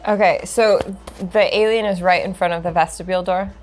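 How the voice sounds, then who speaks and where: A woman playing a tabletop role-playing game, talking casually and haltingly as she decides her moves. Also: on the low side, steady tone. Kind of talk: casual game chat, some in-character speech. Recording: background music behind the voice. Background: music.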